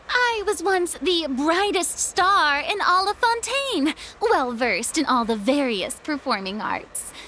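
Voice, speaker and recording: A woman's voice: high voice, fairly bright tone, slight background noise, full character voice. A woman voicing a proud, theatrical young woman in a video game, a retired stage star who speaks in a dramatic, grandiose way with self-pitying sighs.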